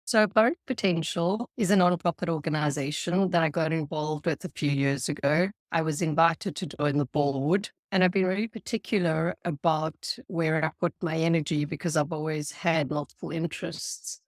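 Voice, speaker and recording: A woman from South Africa with a South African accent, a guest on a podcast, explaining her work in an earnest, measured way. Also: low voice, steady tone, dry recording, clean studio sound. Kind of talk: podcast interview.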